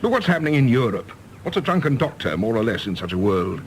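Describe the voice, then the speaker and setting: A man's voice: mid-range voice, sing-song, fast, slight background noise, lightly acted. A man playing a stiff, proud, disapproving English diplomat in a radio drama, speaking formally and pompously in a clipped English accent. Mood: impatient, dismissive. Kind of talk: British stage-play radio drama acting.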